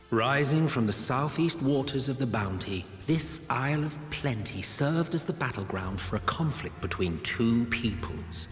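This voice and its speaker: Theatrical low-voiced sardonic villain. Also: male voice, a bit bright. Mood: measured, storytelling. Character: theatrical, world-weary male villain, ancient, sardonic and grandiose. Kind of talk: dramatic game cutscene narration. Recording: echoey hall reverb with music behind. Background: music.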